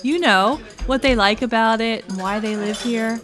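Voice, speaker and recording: A woman's voice: a little high, moderate pace, a bit bright, lightly acted. A woman acting in community radio theatre as a focused, wry friend who herds her distracted companions. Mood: exasperated.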